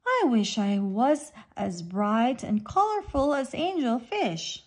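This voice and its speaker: A woman voicing a gloomy but kind flatfish in a storybook, wistful and plain-spoken. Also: a little high, slow. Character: slow, gloomy but kind young plaice fish.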